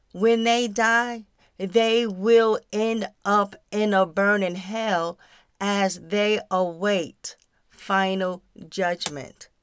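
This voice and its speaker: A woman hosts a faith podcast with an earnest, exhorting delivery. She takes her time over each phrase, at a medium pitch, speaking straight to her listeners as a devotional teacher would. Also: clear, resonant tone, dry recording. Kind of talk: devotional talk and prayer.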